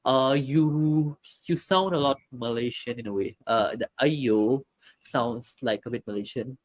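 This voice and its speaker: Casual male stream host, halting delivery, lots of uh and restarts. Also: medium pitch. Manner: hesitant, many fillers and restarts. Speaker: football talk stream host. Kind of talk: casual live-stream football chat, reading chat comments.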